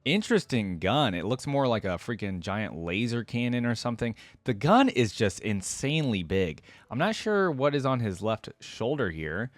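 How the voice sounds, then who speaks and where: A man with a mid-pitched voice hosts a reaction video, talking casually and thinking out loud. He keeps it informal as he comments on what is in front of him. The sound is dry and close to the mic. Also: very animated, normal speed, few pauses, no echo.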